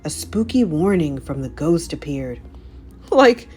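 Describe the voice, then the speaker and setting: A woman narrating a children's storybook aloud in an animated, scripted read-aloud style. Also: on the low side, a little slow.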